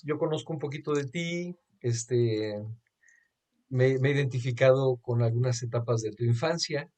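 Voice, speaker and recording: A man's voice: medium pitch, a little mellow. A man with a Mexican accent hosting a casual religious interview over a video call. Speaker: host.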